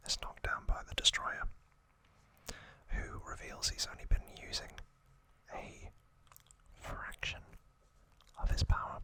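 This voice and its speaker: A man hosting ASMR, whispering a trivia recap in a breathy, hushed voice with short phrases and pauses. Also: poor audio. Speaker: ASMR host.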